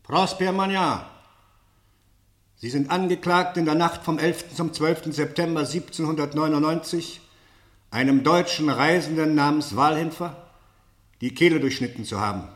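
A man's voice: on the high side, fairly bright tone, natural voice. Man acting a stern general in an echoing room. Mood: stern, accusing. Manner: formal, severe, deliberate. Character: stern, formal general presiding over a court-martial. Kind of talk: German radio play acting. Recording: roomy, echoing courtroom sound.

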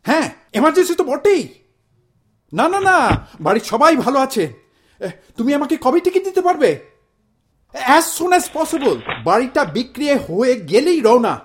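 A middle-aged Bengali man, played by an actor, stubborn and melodramatic, in a comic radio play. His pitch swings widely as he exclaims and grumbles, dropping English phrases into his speech. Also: big pitch swings, unhurried, exaggerated voice. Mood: urgent, flustered. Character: hot-headed exasperated Bengali immigrant husband, melodramatic and stubborn. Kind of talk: audio stage-style comedy drama acting.

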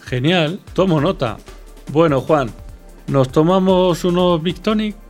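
Male co-presenter, Spain accent, lightly high pitch, scripted question-and-answer explainer, music bed. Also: slow pace. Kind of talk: scripted educational dialogue.

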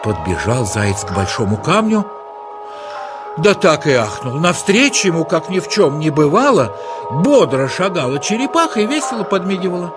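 A man narrating a children's story in a dramatic, expressive, exclamatory style. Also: on the high side, moderate pace, music in the background.

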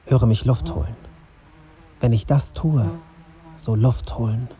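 An actor playing a young journalist in a radio drama, irritable and inward-looking. His voice is mid-pitched and dark, with terse phrases. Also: measured pace, close to an everyday voice. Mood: brooding. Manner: terse, introspective. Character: young male journalist, brooding, irritable, self-observing. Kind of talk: radio drama acting with inner monologue.

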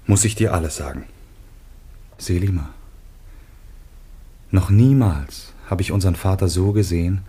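Biblical son, radio drama, earnest, troubled, declamatory, deep husky tone. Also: male voice, darkish tone, heavily acted. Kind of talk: classic German radio drama acting.

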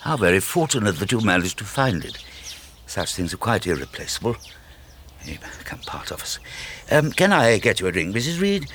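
An actor playing a polite, hesitant middle-aged English army major in a radio drama, reserved and a little wistful. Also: male voice, on the low side, very animated, normal speed, fairly bright tone, a little hiss or hum. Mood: courteous.

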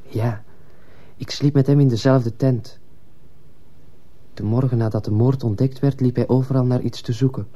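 An earnest schoolboy in a radio drama, played by a man, confiding in his teacher. The voice is middling in pitch and very dark and muted in tone. He sounds respectful and fearful, and a little hesitant. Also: fairly even intonation, normal speed, long pauses, close to an everyday voice. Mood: uneasy, confiding.

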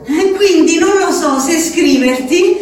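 Plaintive asylum inmate, radio play: high, sing-song letter monologue, heavily echoing room. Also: female voice, a little mellow. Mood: confiding, insistent. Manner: deliberate, drawn-out, sing-song. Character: Gemma, plaintive asylum inmate, a stubborn country woman writing letters. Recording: echoey, distant, clearly noisy.

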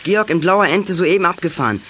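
A young man playing a resourceful teenage boy detective in a children's audio drama, speaking in brisk, clipped phrases. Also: high-pitched, animated, background noise. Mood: businesslike.

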